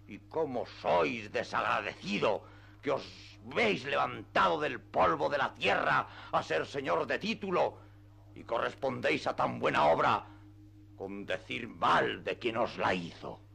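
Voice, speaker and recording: An actor playing a grandiose, deluded old knight-errant in a radio drama, with solemn, declamatory, archaic speech. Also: male voice, mid-range voice, lively intonation, takes it slowly, creaky, pushed and bright, slightly rough, full character voice. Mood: furious, indignant. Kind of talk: theatrical radio drama acting.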